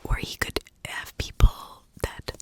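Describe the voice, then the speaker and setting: Slow whispering woman, ASMR host. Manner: hushed, unhurried, confiding. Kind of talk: whispered ASMR talk, informal and personal.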